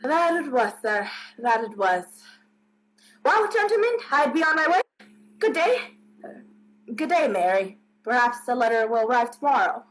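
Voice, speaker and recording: A woman's voice: on the high side, sing-song, normal speed, slight background noise, poor audio. A woman playing a plain-spoken rustic village postman in a radio play, talking in folksy dialect with emphatic tags. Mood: grave.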